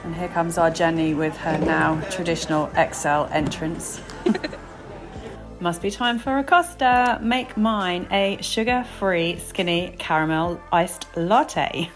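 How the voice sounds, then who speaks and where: A woman's voice: lowish voice, takes it slowly, over music. A woman from England narrating her trip to a trade show, chatty and upbeat, a bit bunged up. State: stuffy, bunged up. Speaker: vlogger and nail educator. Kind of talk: casual vlog narration.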